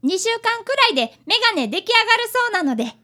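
Radio drama kid sidekick, voiced by a woman: earnest, polite boy apprentice detective, very high and animated. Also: quick pace, heavily acted. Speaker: cast member of a community radio show. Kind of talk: radio drama dialogue and narration.

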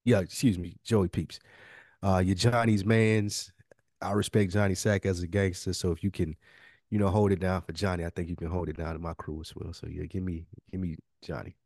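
Deep-voiced, joking male podcast co-host. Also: flat delivery, a little fast, a little mellow, dry recording, studio quality. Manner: informal, slangy, joking. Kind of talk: casual chat, joking banter.

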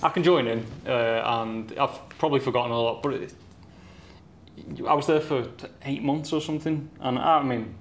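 A man's voice: mid-range voice, normal speed, slight background noise. A man hosting a casual interview with a friend, asking probing questions and giving short replies in between.

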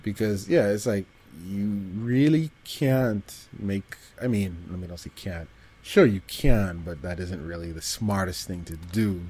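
A man's voice: low voice, unhurried, darkish tone, slightly rough. A man co-hosting a podcast in loose, casual chat about pop culture. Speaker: podcast co-host.

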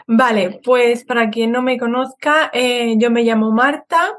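A woman's voice: on the high side, fairly even intonation, measured pace. A woman from southern Spain with a Spanish accent from Spain, a guest explaining things conversationally and correcting herself as she goes. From Seville, Spain, born in Madrid. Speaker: podcast guest, online Spanish teacher. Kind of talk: casual explanatory interview chat.

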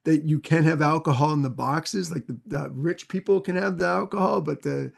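Male co-host, very gravelly voice with lots of fry, slightly high, hesitant and questioning. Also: moderate pace. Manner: hesitant, many fillers and restarts, asks questions. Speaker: podcast co-host. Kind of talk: casual chat.

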